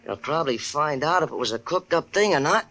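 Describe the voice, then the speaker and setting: An actor playing a sly, needling pool-room hustler in slangy, taunting radio suspense style. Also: male voice, fairly high pitch, animated, fast talker, noisy background. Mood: probing.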